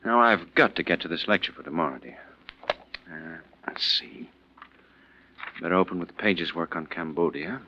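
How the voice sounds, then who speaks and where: An actor playing a weary, nervy professor husband in a hesitant, muttering way, in 1940s radio style. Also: male voice, deep voice, moderate pace, long pauses, bright, forceful tone, a little hiss or hum. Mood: muttering, preoccupied. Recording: dull 1940s radio recording.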